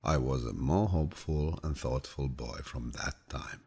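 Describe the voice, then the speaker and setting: Male audiobook narrator, calm and grandfatherly, bottom-register voice with a husky rasp, reading reflective quotations in measured fashion. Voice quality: deep, low, gravelly.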